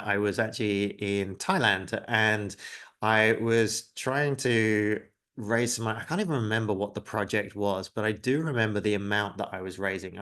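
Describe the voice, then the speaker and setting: A man in his forties with a lowish voice who grew up in the UK presents a live webinar. He talks conversationally, with lots of "uh" and "um" and a self-deprecating streak. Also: a bit bright. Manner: conversational, frequent filler words, self-deprecating.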